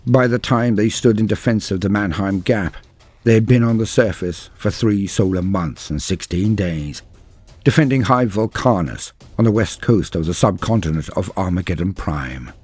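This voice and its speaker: A man narrating scripted science fiction lore in a grave, measured storyteller's manner with formal wording. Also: sits low, animated, darkish tone, husky. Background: background music.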